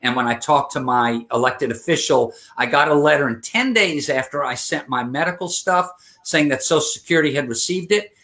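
A man's voice: a little high, lively intonation, a little fast, few pauses, bright, forceful tone. Earnest man in his fifties. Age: 50s. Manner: earnest, many restarts and fillers. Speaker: interview guest. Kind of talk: conversational interview.

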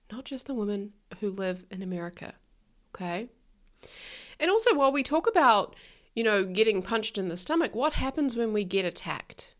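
Kiwi lady podcast host, bit stuffy and nasal, blunt and outspoken, says it like it is. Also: mid-range voice. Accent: New Zealand. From New Zealand. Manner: frank, outspoken, swears. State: nasal from illness. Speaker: podcast host, life coach. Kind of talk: casual solo podcast monologue.

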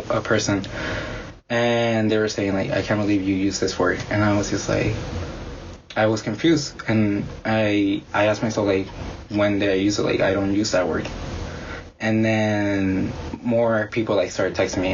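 A young man whose first language is Spanish, answering interview questions in an earnest, halting way full of "like". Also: lowish voice, highs cut off, noisy background. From Mexican-American community. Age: young adult. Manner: earnest, halting, heavy use of "like". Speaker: interviewee, student.

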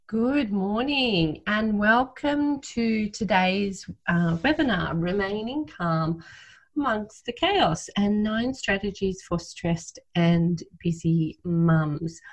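A woman speaks on her own to her listeners as a host and parenting coach. Her voice is mid-range in pitch, and her delivery is informal, like someone presenting to a group of parents. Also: slow, poor audio.

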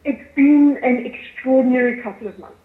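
A woman with a South African accent giving articulate, measured answers as a guest in a radio interview. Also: sits high, echoey room, phone-quality sound. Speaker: arts festival chief executive, interview guest.

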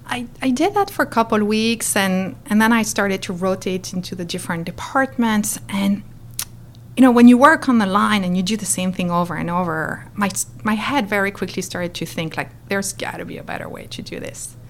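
A woman's voice: medium pitch, moderate pace. A middle-aged woman from France with a French accent, animatedly telling her story as an interview guest. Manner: animated, expressive, acts out quotes.

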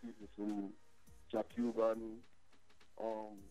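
A man in his forties, born in Trinidad and Tobago, talking by phone as a guest DJ in a casual interview, loud and animated as he tells his story. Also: fairly low pitch, slow, faint music behind. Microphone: phone line.

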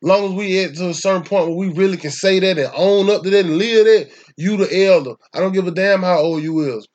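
A young man with a Southern Atlanta accent speaks in a voice pitched a bit above the usual male range. He talks in loose, rambling casual conversation, swearing freely and hammering his points with emphasis. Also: fairly quick, darkish tone, dull audio. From Atlanta, Georgia, US. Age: young adult.

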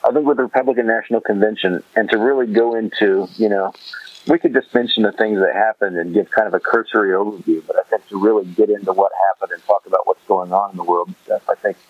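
Fast-talking man, dull lo-fi sound. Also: fairly low pitch, steady tone, dry recording. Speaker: podcast guest. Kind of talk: casual conversational chat.

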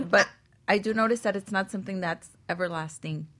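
A woman's voice: mid-range voice, moderate pace, a bit bright. A woman speaking as a panelist in a casual, conversational radio discussion. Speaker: talk show panelist.

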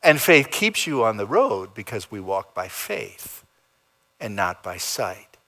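A man preaching a sermon as pastor, in a dramatic style that swings between loud emphasis and soft, low endings. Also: very animated, slow pace. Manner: dramatic preaching with big swings in pitch and loudness. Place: church sanctuary.

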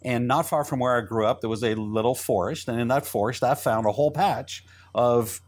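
A middle-aged man from Israel, a Hebrew native, talking as a guest in an interview, with a quick manner full of restarts and repeated words. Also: mid-range voice. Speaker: entrepreneur guest. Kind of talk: interview conversation.

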